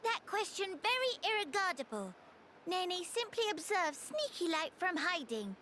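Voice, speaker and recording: A woman voicing a small, excitable, bossy creature girl in a video game, speaking in cute broken third-person grammar with mangled words. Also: very high-pitched, moderate pace, slight background noise, exaggerated voice. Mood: chirpy, scolding. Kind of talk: video game character acting.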